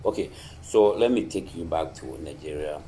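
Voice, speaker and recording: Deep-voiced man hosting a casual interview. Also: mellow, a little hiss or hum. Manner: halting, repeats words, short affirming "okay"s. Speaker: interviewer, host.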